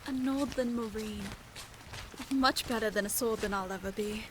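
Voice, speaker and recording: A somewhat high voice of a woman acting as a stalwart, plain-spoken female soldier. The delivery is audio drama, with clearly audible ambient noise behind her. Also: moderate pace, close to an everyday voice. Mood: wistful, chatty. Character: stalwart, chatty soldier woman, devoted wife.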